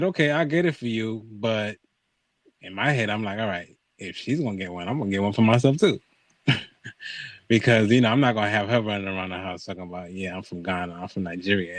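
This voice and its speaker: A man's voice: on the low side, a bit bright, no room sound. A man hosting a podcast, telling a personal story in casual conversation, acting out quoted dialogue and checking in with his listeners.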